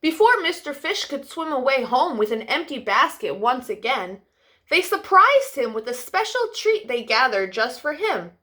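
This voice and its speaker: A woman hosting children's storytime, expressive and affectionate, speaking simply as she would to young listeners. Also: sits high, moderate pace. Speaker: storytime host reading to children. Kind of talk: animated children's storybook reading and friendly address.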